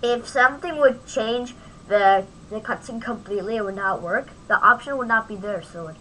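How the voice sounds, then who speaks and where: A woman giving a casual, off-the-cuff software tutorial, thinking aloud as she demonstrates on a home microphone recording. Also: on the high side, normal speed, a little hiss or hum, rough recording. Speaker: tutorial host.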